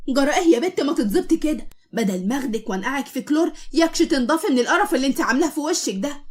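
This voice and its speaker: A woman's voice: high voice, lively intonation, racing. A woman voicing a feisty young woman who mocks and scolds with cheek, with an Egyptian accent. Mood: furious. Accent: Egyptian Arabic. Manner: cheeky, mocking. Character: feisty young woman, comic and sharp-tongued.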